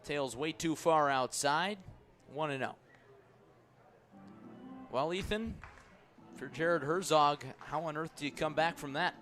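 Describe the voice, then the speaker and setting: A man working as a radio play-by-play announcer, calling live baseball in crisp, clipped phrases. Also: on the high side, takes it slowly, pauses often, fairly bright tone, soft background music.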